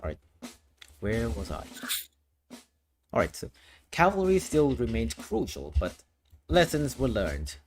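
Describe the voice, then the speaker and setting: A man's voice: mid-range voice, wildly swooping pitch, unhurried, pauses often, a little hiss or hum. A man from Thailand, a streamer explaining his worldbuilding lore in a casual, explanatory way, often restarting phrases.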